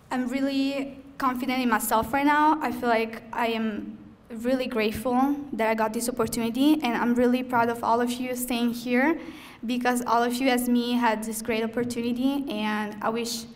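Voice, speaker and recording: A young student from Italy gives an earnest ceremony speech with an Italian accent, pausing now and then as she goes. Her voice sits a little high and stays natural. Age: young adult. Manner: earnest, slightly halting, with frequent "um" pauses. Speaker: student speaker. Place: event hall.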